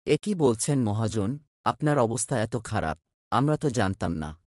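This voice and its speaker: A man's voice: fairly low pitch, expressive, measured pace, a little mellow, no room sound, studio quality. A man playing a hardworking, respectful village fish farmer in a cartoon, earnest and plain-spoken. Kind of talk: cartoon voice acting.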